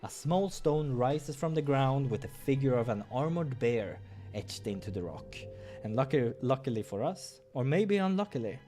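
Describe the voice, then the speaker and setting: A man's voice: mid-range voice, warm tone. A man reading a visual novel's story aloud as narrator, with offhand asides of his own. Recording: music behind the voice.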